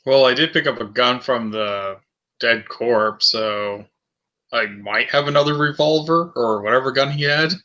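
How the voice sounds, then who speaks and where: A man's voice: medium pitch, a little slow, sharp tone. A man chatting casually as a player in a tabletop roleplaying game.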